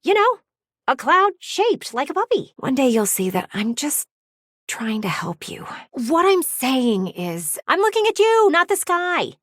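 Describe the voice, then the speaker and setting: An actress voicing a pushy, blunt talking window in a video game, with bossy, dramatic emphasis. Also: female voice, high voice, very animated, measured pace, dry recording, studio quality. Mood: earnest, wistful.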